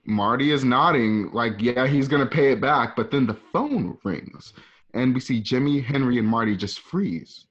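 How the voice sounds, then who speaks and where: A man's voice: mid-range voice, lively intonation, normal speed. Male narrator reading scene directions, slightly muffled. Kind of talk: reading screen directions for a radio drama. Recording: dull lo-fi home recording.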